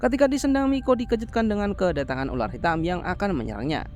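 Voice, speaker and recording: A man's voice: high-pitched, quick pace, a little mellow, slight background noise. A man narrating a horror film plot as a scripted story recap, explaining as he goes in informal wording.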